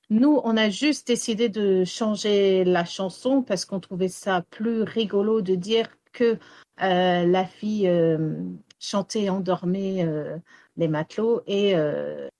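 Woman storyteller, English native speaker, halting with lots of fillers, dry close sound. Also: mid-range voice, dark tone, no room sound. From Wales. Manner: hesitant, many fillers and restarts. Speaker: storyteller and singer. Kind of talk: radio interview answers.

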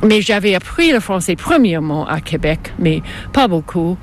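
A woman's voice: on the low side, animated, moderate pace, a little hiss or hum. An older woman from Canada, a native English speaker with a Canadian English accent, chatting casually and searching for words as an interview guest. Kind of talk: casual conversation.

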